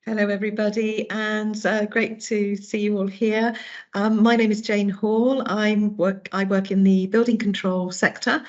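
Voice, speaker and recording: Businesslike woman, flat delivery. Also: medium pitch. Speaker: building control director. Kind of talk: brief self-introduction on a call. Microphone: video call. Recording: dull video call audio.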